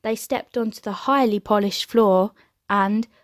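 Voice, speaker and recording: A woman reading a children's storybook aloud as an expressive narrator. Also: mid-range voice, unhurried, dry recording, clean studio sound. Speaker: storybook reader.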